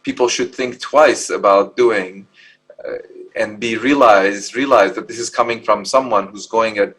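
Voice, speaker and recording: A man from Lebanon who is not an English speaker, talking in a reflective, measured way as a scientist on a panel. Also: fairly low pitch, fairly bright tone, slightly rough, low-quality recording. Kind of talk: thoughtful panel discussion. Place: live panel event in a hall.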